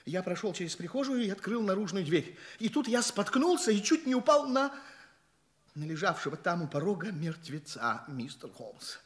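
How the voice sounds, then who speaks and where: A man's voice: sits high, very animated, moderate pace. An actor playing a flustered, talkative male journalist in a radio play, rambling and stammering with fussy politeness as a shaken witness. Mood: agitated, dramatic. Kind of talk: Russian radio play acting.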